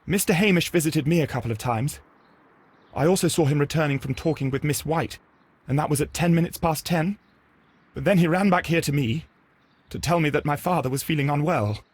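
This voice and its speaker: An actor playing an earnest, flustered young gardener in a video game, with a husky voice and hesitant delivery. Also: male voice, on the high side, brisk. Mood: earnest, troubled. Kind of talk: scripted game dialogue, giving testimony.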